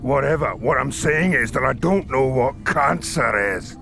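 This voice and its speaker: A male marine sergeant in a science fiction audio drama. He talks slowly and bluntly, with a gravelly creak and a wry, sardonic edge. Music runs behind the voice. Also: medium pitch, animated, pushed and bright, heavily acted. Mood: blunt, confused. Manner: blunt, wry, plain-spoken.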